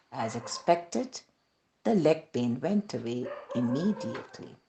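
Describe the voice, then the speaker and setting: A woman with an Indian accent narrates a devotional story from a script. Her voice sits quite low for a woman, yet it rises and falls in a very animated way. The phrasing is measured and deliberate. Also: creaky, slight background noise, poor audio. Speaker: reader of devotional testimonies.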